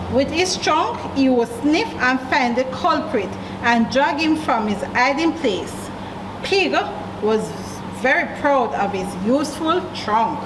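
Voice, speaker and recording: Slow, high-pitched Jamaican woman storyteller. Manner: deliberate emphasis, questions to young listeners. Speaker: library storyteller. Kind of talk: children's story read aloud. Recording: echoey room, distant mic, clear background noise.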